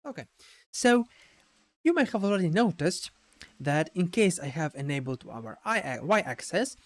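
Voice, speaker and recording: Higher-pitched man narrating a software tutorial. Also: big pitch swings. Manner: halting, repeats words, frequent checking tags. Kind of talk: unscripted screen-share tutorial narration.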